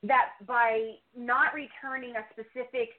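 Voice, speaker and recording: A woman's voice: fairly high pitch, flat delivery, unhurried. A woman podcaster answering interview questions in a talkative, opinionated way, circling back to repeat her points. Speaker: true crime podcast co-host, interview guest. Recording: thin, phone-like line.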